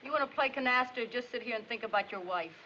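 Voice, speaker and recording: A woman's voice: fairly high pitch, fast, bright, forceful tone. An actress playing a tough young American showgirl, slangy, sardonic and full of wisecracks, in a 1950s film. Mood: wry. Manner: sassy, sardonic. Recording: muffled, noisy old film soundtrack.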